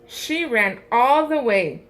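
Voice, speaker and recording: A woman reading a picture book aloud to young children, with deliberate, expressive phrasing. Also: fairly high pitch. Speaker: storybook reader for a first grade class.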